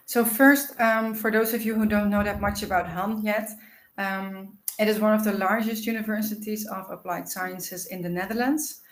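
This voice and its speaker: A woman's voice: mid-range voice, fairly even intonation, measured pace, echoey room, poor audio. A woman hosting an informational webinar, explaining things in a friendly way with frequent "uh" and "um". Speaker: webinar host.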